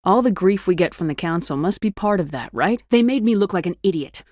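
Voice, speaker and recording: A tough female starship commander for a science fiction game. Her voice sits on the low side and is recorded close and dry. She speaks in a firm, clipped, authoritative way with a wry, resolute edge. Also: expressive, fairly quick, a little mellow, no room sound. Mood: emphatic, wry. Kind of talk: video game voice acting, dramatic dialogue.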